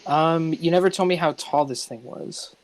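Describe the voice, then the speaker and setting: A man playing a tabletop game, asking questions in a halting, casual way. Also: on the high side, dry recording. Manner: halting, inquisitive. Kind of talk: casual chat.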